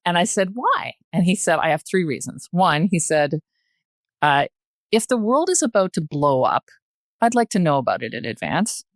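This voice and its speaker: An older woman as a podcast guest, telling stories and giving opinions conversationally, with deliberate, emphatic phrasing. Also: on the low side, very animated, no echo, studio quality. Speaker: podcast guest, retired journalist.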